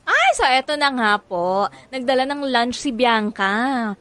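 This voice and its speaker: An actress with a Filipino accent playing a nosy, teasing, excitable co-worker in a radio comedy drama, full of exaggerated exclamations. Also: female voice, on the high side, measured pace.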